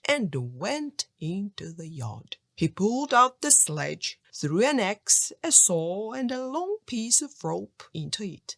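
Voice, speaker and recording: A woman narrating a children's folk tale audiobook in an expressive, scripted storytelling style. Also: medium pitch, vocal fry, no echo, clean studio sound.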